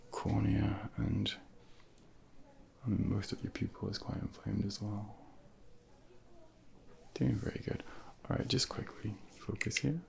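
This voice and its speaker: Low, gravelly half-whispering ASMR man. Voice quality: soft, breathy half-whisper. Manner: gentle, hesitant, reassuring bedside delivery. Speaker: ASMR performer role-playing a junior doctor. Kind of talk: soft-spoken ASMR medical exam role play. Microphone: close binaural microphone. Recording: close binaural ASMR, faint background noise.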